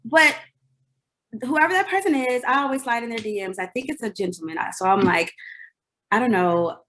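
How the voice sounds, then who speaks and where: A woman's voice: fairly high pitch, moderate pace. A woman in her forties, a singer as interview guest, telling stories in casual chat, laughing often and acting out what people said. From United States. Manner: animated storyteller, laughs often, acts out quotes.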